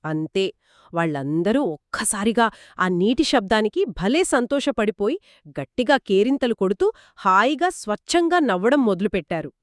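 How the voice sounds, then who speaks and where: A woman co-narrating a children's story in a conversational, expressive and engaging style. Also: mid-range voice, moderate pace, dry recording, clean studio sound. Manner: expressive, engaging, acts out characters' quoted lines.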